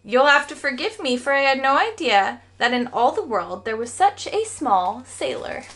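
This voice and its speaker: A storybook bus conductor, a blunt man acted by a woman, speaks in a bright voice. His delivery is expressive, with pitch that moves up and down a lot. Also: moderate pace, heavily acted. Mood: apologetic. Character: male bus conductor, blunt, mocking, then apologetic.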